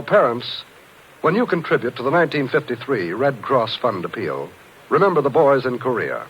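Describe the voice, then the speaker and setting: Male American radio announcer, earnest and formal, mid-pitched with lively swings, dull vintage 1950s broadcast sound. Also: a little hiss or hum.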